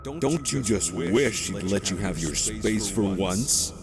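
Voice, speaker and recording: Man voicing a deep, slow, sly voice of guilt. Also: lively intonation. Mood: taunting. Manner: slow, taunting, insinuating. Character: male inner voice of guilt, sly, insinuating, needling. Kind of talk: audio fantasy drama.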